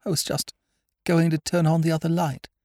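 A male narrator puts on a shaken aristocratic lady for a storybook mystery. The voice is lifted in pitch and dramatic, as she tells her tale. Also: little pitch movement, moderate pace, darkish tone, no echo, clean studio sound, close to an everyday voice. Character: shaken aristocratic English lady recounting a robbery.

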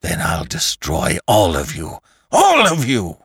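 Storybook villain, acted by a male reader: walking corpse, deep and slow, seething with murderous hate, very dry recording. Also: gravelly, a bit bright, breathy edge, no echo, exaggerated voice. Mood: desperate rage. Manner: slow growled threats, breaking into screams. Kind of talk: dramatic storybook narration.

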